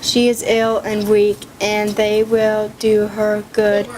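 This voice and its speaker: A woman's voice: mid-range voice, completely flat delivery, slow, a little mellow, a little hiss or hum, natural voice, low-quality recording. A woman reading the part of a caring mother giving her daughter instructions, hurrying and stumbling over words. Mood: instructing.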